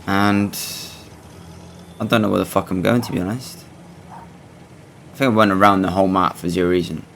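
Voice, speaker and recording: A man giving casual, rambling and halting gameplay commentary, blunt and sweary as host of a gaming video. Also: sits low, steady tone, vocal fry, fairly bright tone, a little hiss or hum.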